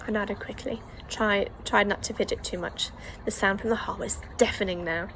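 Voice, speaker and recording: A woman's voice: mid-range voice, normal speed, a bit bright, slight background noise, low-quality recording. A woman reading a children's novel aloud as the first-person narrator, telling the story expressively. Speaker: audiobook reader.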